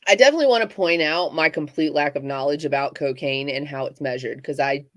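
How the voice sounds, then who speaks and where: A woman co-hosting a casual livestream talk, speaking in halting bursts with many fillers and restarts. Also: low voice, dry recording, studio quality. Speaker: podcast co-host.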